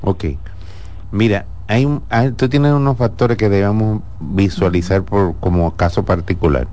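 Calm doctor, low voice. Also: male voice, unhurried. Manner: calm, explanatory, laughs easily. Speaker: gynecologist answering listeners. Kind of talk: radio health talk show.

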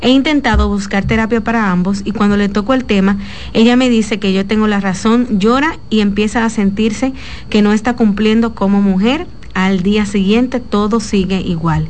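A woman's voice: medium pitch, moderate pace, darkish tone, a little hiss or hum. A woman hosting a talk show, asking questions and reading a listener's letter aloud in a chatty way.

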